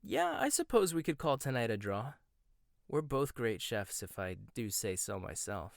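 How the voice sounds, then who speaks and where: A man playing a teasing rival chef, soft and close. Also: mid-range voice, big pitch swings, moderate pace, gravelly, no echo. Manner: soft-spoken, teasing, gets flustered and stammers. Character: proud rival male chef, teasing and flustered. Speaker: ASMR roleplay voice actor. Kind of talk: boyfriend ASMR roleplay, one-sided dialogue.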